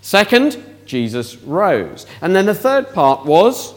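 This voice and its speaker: Animated man leading a children's talk. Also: high-pitched, takes it slowly. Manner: animated, questions the audience. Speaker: children's talk leader at church.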